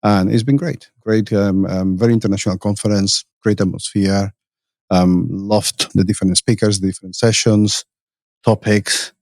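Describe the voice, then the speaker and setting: A man's voice: on the low side, steady tone, normal speed, a little mellow, dry recording. A man from Spain with a Spanish accent, an agile coach and conference organizer talking as a podcast guest, thoughtful and full of restarts. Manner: thoughtful, many restarts and fillers. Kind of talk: conversational interview. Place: conference venue.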